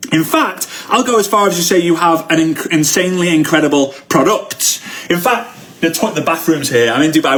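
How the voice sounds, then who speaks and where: A man from Liverpool with the local accent and a fairly high voice coaches an online audience. He is excitable and emphatic, hammering home phrases by repeating them in a motivational style. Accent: Liverpool English. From Liverpool, United Kingdom. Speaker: network marketing coach. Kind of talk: motivational live online training talk.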